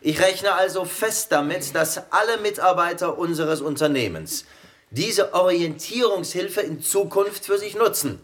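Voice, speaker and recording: A self-important new boss in a radio drama, talking down to his staff with mock politeness. His voice sits high and swoops widely as he sneers. Every phrase sounds like a speech to an audience. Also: expressive, measured pace, fairly bright tone. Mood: formal. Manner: pompous, condescending, mocking. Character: pompous new male company boss.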